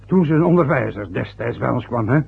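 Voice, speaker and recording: A man's voice: medium pitch, lively intonation, brisk, gravelly, breathy edge, full character voice. An actor playing an aging, bitter father who grows short of breath, in a radio play. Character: aging working-class father, bitter and ill. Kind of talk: radio drama acting. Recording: muffled old radio play recording.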